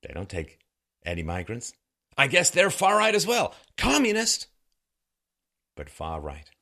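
Animated man giving sarcastic political commentary. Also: medium pitch, measured pace, creaky. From born in Ireland, grew up in England. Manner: sarcastic, rhetorical, with theatrical emphasis. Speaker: host of a political commentary show. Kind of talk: opinion commentary monologue.

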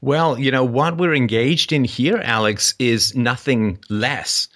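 A man hosting a podcast, giving earnest conversational commentary in a deliberate, emphatic, explanatory way. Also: medium pitch, fairly bright tone. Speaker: podcast host.

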